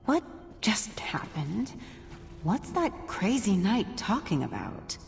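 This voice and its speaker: An actress voicing a baffled, exasperated adventurer in a video game, creaky and incredulous. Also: female voice, medium pitch, sing-song, takes it slowly, full character voice. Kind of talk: video game character acting. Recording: hall reverb with music.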